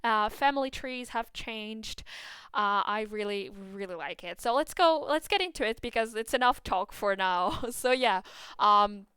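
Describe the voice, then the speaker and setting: A woman with a Portuguese accent talks casually and excitedly about a game, full of fillers and little restarts. Her voice is exceptionally crisp and clean, with a dry, close sound and no room around it. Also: a little high, measured pace, no room sound. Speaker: gaming YouTuber.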